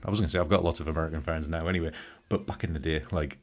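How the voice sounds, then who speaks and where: A man's voice: a bass voice, steady tone, racing. A middle-aged British man hosting a casual live stream, joking and greeting viewers by name as he edits photos, a bit tired. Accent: British English. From Britain. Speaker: livestream host. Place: home studio room.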